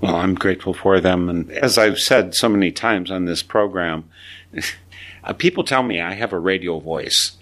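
A man in his seventies hosting a radio program, asking questions and reflecting in a slow, deliberate way. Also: low voice, fairly bright tone. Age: 70s. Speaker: radio show host.

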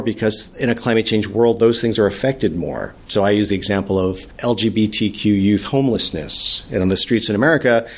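A man speaking as an interview guest, telling his story in an earnest, flowing way. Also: on the low side. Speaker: guest, podcast producer. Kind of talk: conversational interview answers.

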